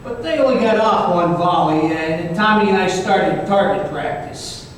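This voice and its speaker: A man's voice: high-pitched, measured pace, fairly bright tone. A man playing a wisecracking detective, recounting his adventures in a wry, conversational old-time radio style. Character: wisecracking male detective recounting a supernatural gunfight. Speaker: actor in a staged radio play. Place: live performance in a reverberant hall. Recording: very echoey hall, distant mic, faint noise.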